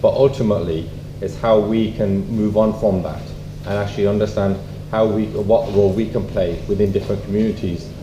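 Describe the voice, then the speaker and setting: A man making measured, courteous comments at a community event in fairly formal wording. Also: lowish voice, fairly even intonation. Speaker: event speaker. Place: echoey room at a public event. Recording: dull, echoey, noisy room recording.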